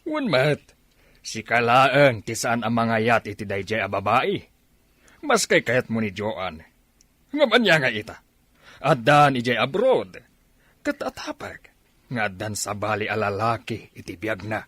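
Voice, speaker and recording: A man's voice: mid-range voice, big pitch swings, a little slow, fairly bright tone, no room sound, studio quality. A man with an Ilocano accent playing an older, insistent father longing for his grandchild in a radio drama, emphatic and pleading. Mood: exasperated.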